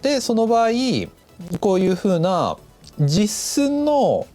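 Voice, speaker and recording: A man teaches in a casual, instructive way, walking through each step. His intonation moves up and down freely, keeping the explanation lively. Music plays behind his voice. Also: high voice, slow. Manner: instructive, demonstrating step by step, counting aloud. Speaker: animation director teaching a lesson. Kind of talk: casual explanatory tutorial talk. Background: background music.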